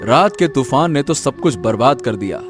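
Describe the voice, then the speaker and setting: A man voicing a kind, humble, hardworking young village son-in-law in a moral-story cartoon, with drawn-out, emphatic delivery. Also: medium pitch, lively intonation, music in the background, natural voice. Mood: kind, reassuring.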